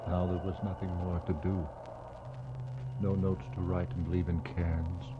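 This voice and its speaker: Searching explorer: somber and incantatory, low register, vintage radio-drama narration with dulled sound. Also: male voice, flat delivery, over loud music. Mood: grim, subdued. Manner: measured, solemn, incantatory. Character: somber explorer searching for a lost expedition's traces. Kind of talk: 1960s radio drama acting, poetic narration. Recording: muffled 1960s radio recording.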